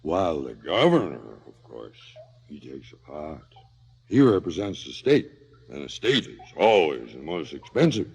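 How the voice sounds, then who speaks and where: Sardonic killer, wildly swinging pitch. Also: male voice, big pitch swings, unhurried, gravelly, a little mellow, husky, full character voice. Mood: bitterly amused. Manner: sardonic, theatrical, swinging from muttering to outbursts. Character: cynical, philosophizing hired killer, theatrical and sardonic. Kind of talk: 1970s radio drama acting. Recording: slightly dull 1970s radio recording.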